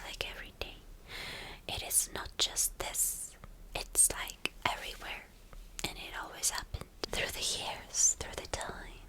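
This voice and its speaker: Villainess girlfriend, played by a woman, ASMR roleplay: whispered, very unhurried, spoiled, cruel, possessive, coaxing yet bossy. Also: natural voice, rough recording. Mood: coolly persuasive. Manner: coaxing, domineering, slow and teasing endearments.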